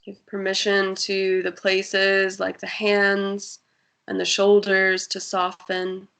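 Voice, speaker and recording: Slow, soothing woman guiding meditation. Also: mid-range voice, monotone, creaky, smooth. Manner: slow, soft and soothing, with long pauses. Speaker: meditation guide. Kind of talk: guided breathing meditation.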